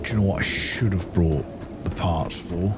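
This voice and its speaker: A man's voice: low voice, moderate pace. A man giving casual, understated gameplay commentary, half talking to himself as he plays. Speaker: gaming video host. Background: game music.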